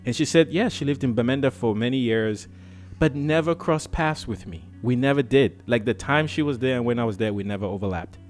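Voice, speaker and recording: A man from Cameroon hosting a podcast, telling a personal story in a lively, casual way and acting out the dialogue. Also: brisk, soft background music. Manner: animated, acts out dialogue with swings in pitch. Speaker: podcast host.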